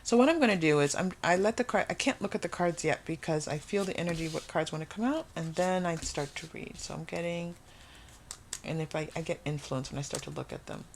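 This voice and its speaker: A woman's voice: low voice, a little fast, a little hiss or hum. Woman giving an earnest, emphatic tarot reading. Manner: earnest, emphatic, often repeats and rephrases phrases. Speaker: tarot reader hosting a channel. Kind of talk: unscripted spiritual tarot reading addressed to viewers.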